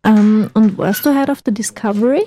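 A woman's voice: mid-range voice, moderate pace, warm tone, slight background noise. Chatty young woman radio host. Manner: chatty, teasing, laughs often. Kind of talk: casual radio chat.

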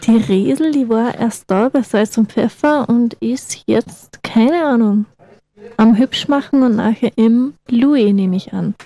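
A woman's voice: fairly high pitch, a little slow, dark tone. Chatty young woman radio host. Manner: chatty, teasing, laughs often. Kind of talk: casual radio chat.

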